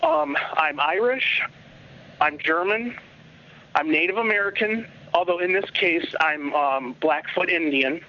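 A man from Philadelphia calls in to a radio show for the first time, with a fairly high voice. He talks earnestly and at length, and the phone line is dull. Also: animated, unhurried. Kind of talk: phone call-in.

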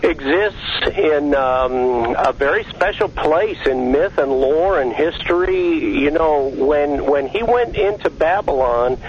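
A male caller from Georgia talks slowly and haltingly, stopping often for fillers and restarts. His voice comes through a dull, lo-fi line with a faint hiss underneath. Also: fairly high pitch. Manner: slow, halting, many fillers, flattering. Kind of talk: call-in radio talk.

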